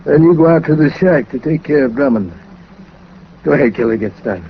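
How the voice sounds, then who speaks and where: A man's voice: a little high, expressive, a little fast. An actor playing a smug, menacing American gangster boss in a 1940s radio crime drama, taunting in slangy tough-guy talk. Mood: cold menace. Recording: muffled, noisy 1940s radio recording.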